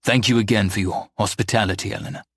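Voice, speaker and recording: A man voicing a stern, guarded young man who talks in a firm monotone drawl. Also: fairly low pitch, fast talker, slightly rough, no room sound, clean studio sound. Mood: flat.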